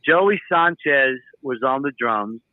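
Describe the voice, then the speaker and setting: A man telling stories in a casual podcast chat, animated, acting out what other people said. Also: mid-range voice, slow, no room sound. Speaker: guest musician. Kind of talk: casual storytelling. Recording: tinny phone-like sound.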